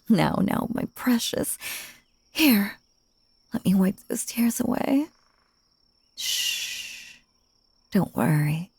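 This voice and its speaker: A woman playing a sinister, possessive stage magician in a dramatic audio roleplay, with breathy, coaxing, theatrical delivery and syrupy menace. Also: mid-range voice, lively intonation, drawn-out words, pauses often, no room sound, full character voice. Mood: soothing, coaxing.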